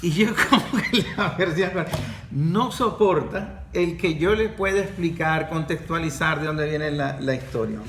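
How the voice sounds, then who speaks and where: A middle-aged Venezuelan man with a high, light voice, chatting in a casual podcast. He tells his points animatedly and often starts his sentences over. The room is roomy and echoey, with a faint hiss behind him. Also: a little slow. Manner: animated storyteller with frequent restarts. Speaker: podcast host and course facilitator.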